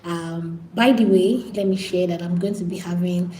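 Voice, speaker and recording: A woman's voice: lowish voice, normal speed, mellow, roomy sound, a little hiss or hum, poor audio. A Nigerian woman hosting a casual live stream, pleading and repetitive as she talks straight to her viewers.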